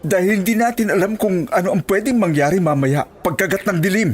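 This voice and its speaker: A man's voice: high voice, brisk, slightly rough, some chatter behind. A man with a Filipino accent playing a concerned, caring friend in a horror audio story. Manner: concerned, urging. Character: worried, caring male friend of the hunter. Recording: faint music behind the voice.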